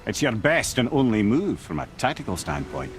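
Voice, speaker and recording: Witty, boastful sage with swooping delivery. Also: male voice, lowish voice, sing-song, normal speed, faint music behind. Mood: wry, explaining. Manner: wry, theatrical, eloquent. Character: witty, boastful, wise captive old male sage. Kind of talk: dramatic game acting.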